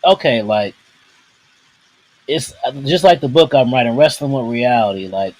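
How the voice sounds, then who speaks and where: A man co-hosting a casual wrestling chat, rambling and halting in a laid-back way. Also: medium pitch, expressive, a little mellow, poor audio. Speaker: podcast co-host.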